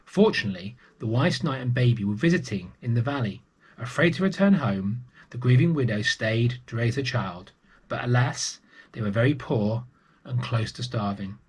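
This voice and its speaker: Male early years story reader: husky, mid-pitched, expressive, chatting to young listeners. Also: moderate pace, rough recording. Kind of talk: expressive children's story reading.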